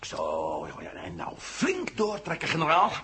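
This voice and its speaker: A man acting in a 1970 Dutch radio drama as a resourceful leader who gives brisk, conspiratorial orders. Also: on the high side, huge pitch swings, pushed and bright, slightly rough, full character voice. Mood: excited. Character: resourceful commanding male leader in a sci-fi adventure. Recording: noisy old radio drama recording.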